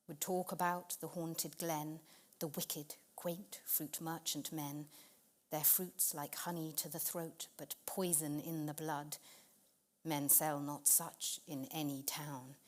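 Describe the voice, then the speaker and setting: A woman performing a dramatic narrative poetry reading, expressive and measured, with deliberate pauses. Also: fairly low pitch.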